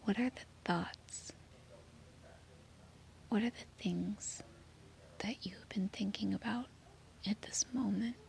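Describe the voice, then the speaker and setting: A woman guiding a children's sleep meditation in a soothing, gently reassuring manner. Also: mid-range voice, slow pace, gravelly, pauses often. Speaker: meditation guide.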